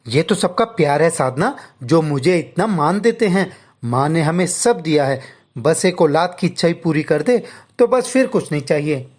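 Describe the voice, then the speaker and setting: A man's voice: fairly high pitch, lively intonation, normal speed, a little mellow. A man voicing a humble, devout, hardworking married villager in a story. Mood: affectionate. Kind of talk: story dialogue.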